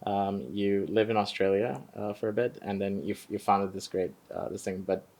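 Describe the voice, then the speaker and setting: A man hosts a podcast and introduces his guest. He hesitates often and fills gaps with "um". His voice sits somewhat low. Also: flat delivery, moderate pace, darkish tone. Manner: hesitant, many "um"s and restarts. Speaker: podcast host. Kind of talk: podcast interview.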